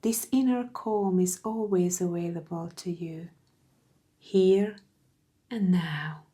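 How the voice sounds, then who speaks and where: Slow, mellow woman guiding meditation. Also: on the low side. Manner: soothing, gentle, unhurried with long pauses. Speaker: meditation guide. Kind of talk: guided meditation narration.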